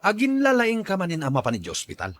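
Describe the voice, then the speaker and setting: A man's voice: sits high, wildly swooping pitch, quick pace, no room sound. A man playing a hard-headed, resentful husband and son in an Ilocano radio drama, with a combative, sneering manner. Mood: bitter.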